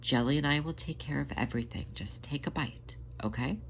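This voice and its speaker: A narrator voicing a motherly female cat, gentle and reassuring, coaxing softly in an audio story. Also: medium pitch, a little fast, gravelly, slightly rough, voice pushed up, poor audio. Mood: soft reassurance. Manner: soft, soothing, coaxing.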